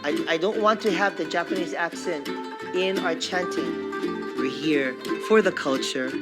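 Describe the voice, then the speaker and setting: Low-voiced woman, sincere, loud mandolin. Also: unhurried. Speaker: documentary interviewee. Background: loud mandolin music.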